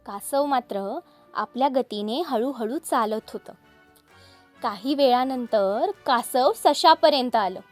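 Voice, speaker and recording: High-voiced woman telling children's stories. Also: slow, faint music behind. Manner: expressive, addresses young listeners directly. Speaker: children's storyteller. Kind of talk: scripted fable narration for children.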